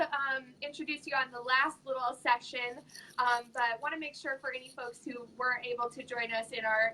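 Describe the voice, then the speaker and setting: High-voiced woman moderating a live stream. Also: sharp tone, rough recording. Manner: friendly, many filler ums and restarts. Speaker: host. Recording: noticeable background noise.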